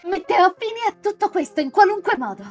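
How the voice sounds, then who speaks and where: A woman plays an imperious young noblewoman, in a very high, swooping voice. She is indignant and petulant, as in a dramatic visual novel reading, with music underneath. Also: very animated, a little fast. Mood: furious. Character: haughty, furious young noblewoman refusing her fiancé.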